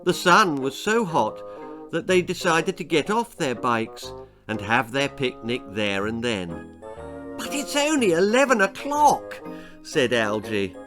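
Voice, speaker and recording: Animated British storyteller, bright voice. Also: male voice, mid-range voice, slow pace, faint music behind. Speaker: author reading own children's story. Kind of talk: animated scripted storybook narration.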